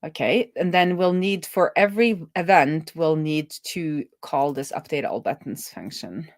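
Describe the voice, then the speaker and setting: A woman with a slightly low voice is live-streaming as a developer. She talks casually and thinks aloud, chatting to herself and to viewers as she works. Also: a little slow.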